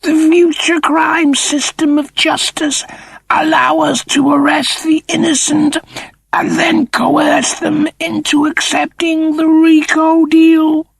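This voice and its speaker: A man voicing a cold, officious chimp-like creature in a strained, creaky falsetto, with slow, pompous delivery in an audiobook. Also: level tone, dry recording, exaggerated voice, poor audio. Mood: coldly persuasive. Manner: slow, deliberate, pompous pronouncements.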